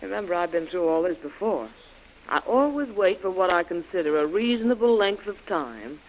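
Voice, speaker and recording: An actor playing a self-assured, seasoned adventurer in an old-time radio drama, confident and unhurried. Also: male voice, high-pitched, very animated. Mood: calm, confident. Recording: dull old radio broadcast.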